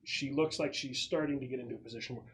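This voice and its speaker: A man narrating a tabletop roleplaying game as game master, explaining things in a halting, thoughtful way with pauses. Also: medium pitch, fairly bright tone. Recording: clearly noisy room recording with clicks.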